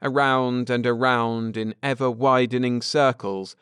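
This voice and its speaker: A man narrating a scripted audiobook story for adults at bedtime, in a measured, dramatic way. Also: mid-range voice, steady tone, fairly bright tone, smooth, no echo, clean studio sound.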